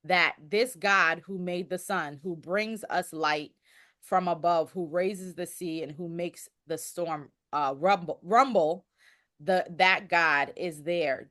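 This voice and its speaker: Lowish-voiced woman, halting explainer. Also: a bit bright. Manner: halting, circles back and rephrases. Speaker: podcast guest explaining history. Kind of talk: conversational explanation.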